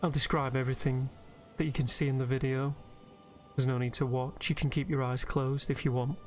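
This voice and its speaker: A man narrating a guided meditation over gameplay in a gentle, unhurried, soothing way with pauses. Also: medium pitch, flat delivery. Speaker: guided relaxation host and gamer. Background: soft background music from a video game.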